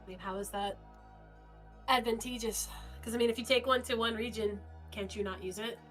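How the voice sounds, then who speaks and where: A woman's voice: a little high, fairly even intonation, brisk, fairly bright tone, soft background music. Chatty young-sounding woman teasing on stream. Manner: casual and chatty, with frequent "like" fillers and teasing banter. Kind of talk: casual gaming stream chat.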